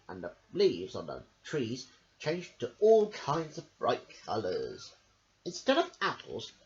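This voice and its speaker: A man reading a children's picture book aloud in a lively sing-song storytelling voice, breaking off now and then for casual asides. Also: fairly high pitch, dull audio.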